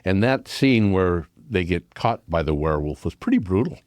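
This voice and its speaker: A man on a community radio show talking about horror films, halting and restarting as he thinks. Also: deep voice, animated, a little mellow, no echo, clean studio sound. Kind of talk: casual radio chat.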